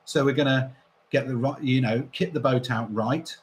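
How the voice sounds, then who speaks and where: A man co-hosting a casual sailing livestream, answering viewer comments in a relaxed, rambling way with many fillers. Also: mid-range voice, normal speed, slight background noise. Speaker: sailing channel co-host.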